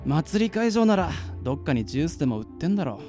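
A man's voice: a little high, big pitch swings, fast, warm tone. Man acting a bewildered young-sounding guy, heavily echoing. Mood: grumbling, puzzled. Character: weary, bewildered adult man narrating his ghostly encounter. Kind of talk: radio drama dialogue and first-person narration. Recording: echoey reverberant sound, music underneath.

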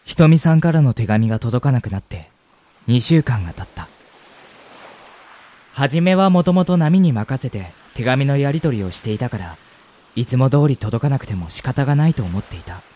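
A young man playing a gentle, sentimental university student in a radio drama, with an earnest, polite manner. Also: medium pitch, lively intonation, normal speed, lightly acted. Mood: wistful. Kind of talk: radio drama acting with narration. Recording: music bed under the voice.

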